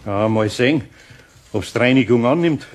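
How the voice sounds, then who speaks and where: A man playing a good-natured, grumbling older master carpenter with a husky voice and a Bavarian accent, muttering and flustered, in a children's radio play. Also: mid-range voice, normal speed, background noise, close to an everyday voice. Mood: dismayed, grumbling.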